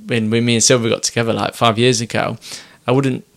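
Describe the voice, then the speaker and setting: A young man, a business owner, talking in a soft, hesitant way with many pauses and restarts. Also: mid-range voice, little pitch movement, vocal fry. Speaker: business owner and podcast guest. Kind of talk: reflective casual chat.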